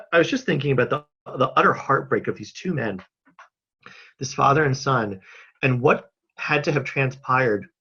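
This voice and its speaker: A man talking earnestly and casually as an interview guest on a video call from home, thoughtful and halting with emphatic pauses. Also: medium pitch, pushed and bright, slightly rough. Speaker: interview guest, mental health advocate and filmmaker. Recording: slightly dull video-call audio.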